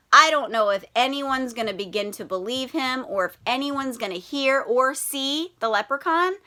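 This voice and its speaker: A woman teacher reading a children's picture book aloud, telling the story in an animated, expressive way and asking the children questions. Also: high-pitched.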